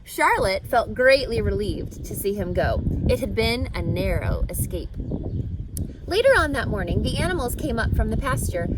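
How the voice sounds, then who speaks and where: A woman's voice: fairly high pitch, very noisy. A woman reading a children's book aloud expressively, now and then speaking to the listener. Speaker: storyteller.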